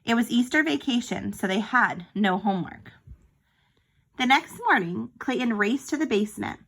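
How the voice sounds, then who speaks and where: Bright-voiced woman reading a children's story. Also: on the high side, moderate pace. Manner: expressive, with explanatory asides to listeners. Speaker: teacher reading a picture book aloud. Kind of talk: read-aloud storytelling for young children.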